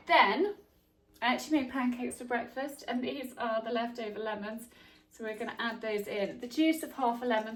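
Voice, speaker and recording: A congested woman in her thirties talks through a home cleaning tip, chatty and homely. Her voice sits a touch high, and it rings around an echoey kitchen with a faint ambient hum behind her. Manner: chatty, homely, friendly asides. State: congested, bunged up from hay fever. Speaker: home tips vlogger. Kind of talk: casual how-to chat to camera.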